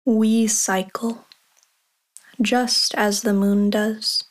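A woman leading a guided meditation, speaking softly and calmly with long pauses. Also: mid-range voice. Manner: slow, soft and calm, with long pauses.

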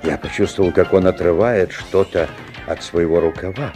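An actor playing a wary, observant father who narrates a strange tale expressively, with theatrical pauses. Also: low-pitched, natural voice. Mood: wondering, uneasy. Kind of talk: dramatic literary narration. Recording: 1970s audio play, music behind.

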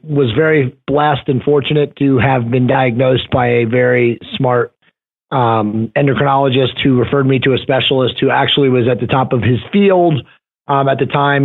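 A man in his forties, a podcast guest talking casually and rambling with many fillers and restarts. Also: medium pitch. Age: 40s. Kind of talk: casual interview talk. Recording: tinny phone line.

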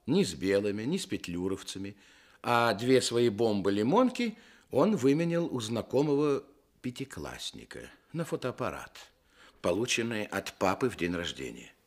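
Lively, wry male storyteller. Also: medium pitch. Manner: measured, ironic. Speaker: narrator. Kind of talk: expressive scripted radio play narration.

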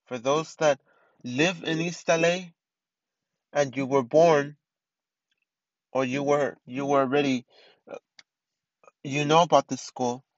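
A man's voice: a little high, fairly even intonation, slow, long pauses, no echo, dull audio. A man in his twenties hosting a casual solo podcast, rambling with many restarts and fillers. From East Los Angeles. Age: 20s. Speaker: podcast host.

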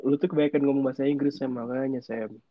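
A young man co-hosts a podcast in a Jakarta Indonesian accent. His talk is casual, slangy and full of teasing, at a medium pitch. The recording is dry, with a somewhat dull, lo-fi tone. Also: steady tone, quick pace, no echo. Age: young adult. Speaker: podcast co-host. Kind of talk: casual bantering chat.

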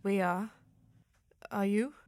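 A woman playing a calm, knowledgeable fantasy companion in an audio drama, with a formal, measured and gentle manner. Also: medium pitch, no room sound, clean studio sound, close to an everyday voice. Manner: formal, measured, avoids contractions.

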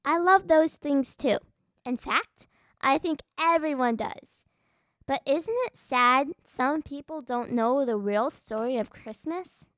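A female host speaks in a high register on a children's podcast. Her delivery is scripted, moving between chat and narration. The recording is dry. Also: takes it slowly, fairly bright tone, dry recording.

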